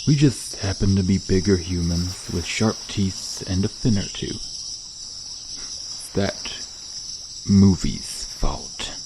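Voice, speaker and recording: Casting: male actor as shy, friendly mer-shark for ASMR roleplay, very deep, hesitant delivery, chirping insects behind. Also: slow, long pauses, background noise. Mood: wry, casual. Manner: hesitant, casual, trailing off. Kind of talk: ASMR roleplay, soft conversational acting to the listener. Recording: nature ambience with chirping insects. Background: crickets and outdoor night ambience.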